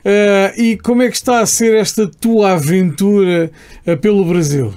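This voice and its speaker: A man hosts a casual interview in a friendly way, with a few hesitant fillers. His voice sits high for a man. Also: fairly even intonation, moderate pace, a little mellow. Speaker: podcast host.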